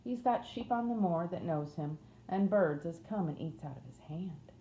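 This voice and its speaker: A woman's voice: lowish voice, animated, moderate pace, warm tone. A woman voicing a blunt, kindly young housemaid with a Yorkshire accent in a storybook reading. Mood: encouraging. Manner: plain-spoken, dialect thee and thou. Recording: roomy echo, faint noise.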